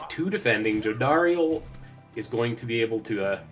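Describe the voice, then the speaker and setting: A man's voice: mid-range voice, animated, normal speed, soft background music. A young man doing playful mock sports-caster play-by-play of a video game. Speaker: co-commentator.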